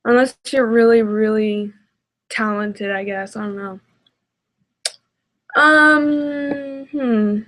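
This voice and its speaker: Female reaction host; fairly high voice, slow hesitant delivery, casual and self-deprecating. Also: fairly bright tone.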